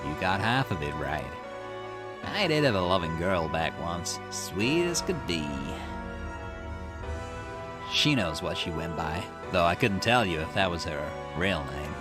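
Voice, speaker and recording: A ruthless, grief-obsessed man from a game, read aloud by a man in a low voice that snarls and flares into outbursts. Game music plays clearly underneath. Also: big pitch swings, measured pace. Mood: brooding. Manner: snarling, defensive, rising to outbursts. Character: bitter grieving middle-aged man, obsessive and ruthless. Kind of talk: reading game dialogue aloud.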